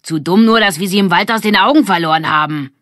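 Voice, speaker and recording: A woman's voice: mid-range voice, fast, fairly bright tone, no room sound, clean studio sound, close to an everyday voice. A woman voicing a cocky, teasing boy from a gang in a brash, mocking way. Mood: gloating.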